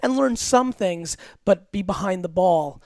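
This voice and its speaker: A man as a guest on an interview podcast, talking about business in a blunt, emphatic, rapid-fire way with some profanity. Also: sits high, lively intonation, darkish tone, no room sound, clean studio sound. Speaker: entrepreneur guest.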